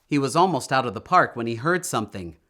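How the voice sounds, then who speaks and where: Male, children's read-aloud narrator, medium pitch, very varied intonation, expressive, deliberate storyteller. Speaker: storybook reader.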